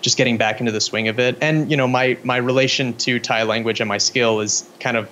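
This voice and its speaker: An American man being interviewed as a guest, speaking casually and hesitantly with many pauses and restarts. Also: mid-range voice, fairly even intonation, pushed and bright, slight background noise. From United States. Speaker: guest filmmaker.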